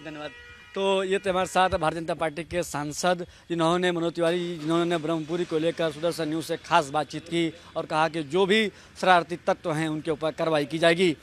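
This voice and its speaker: A male reporter works in the field. He talks fast in a slightly raised voice. He asks short, brisk questions and signs off the report. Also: on the high side, steady tone, a little hiss or hum. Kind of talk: news reporting and interview questions.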